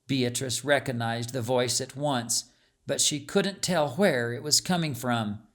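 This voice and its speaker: A man reading a scripted story as an audiobook narrator, with a measured, dramatic storytelling delivery. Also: medium pitch.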